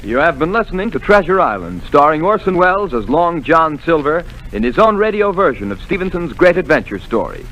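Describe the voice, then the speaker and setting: A man's voice: a little high, sing-song, brisk, few pauses, a bit bright, faint music behind, low-quality recording. A man, announcer of a 1930s radio drama, declaiming in a formal manner.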